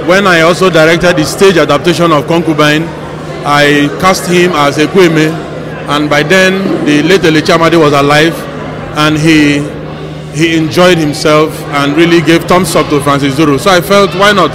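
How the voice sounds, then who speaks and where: A man's voice: a little high, steady tone, normal speed. A middle-aged man with a Nigerian accent giving interview answers as a stage director. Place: bare indoor backstage room. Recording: noisy room ambience.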